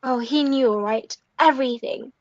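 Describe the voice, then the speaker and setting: A shy reformed outcast played by a woman in a biblical audio drama. She speaks hesitantly and earnestly in a high voice, heartfelt throughout. The sound is dry and slightly dull. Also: moderate pace, a little mellow, no room sound, natural voice. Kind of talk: audio play acting.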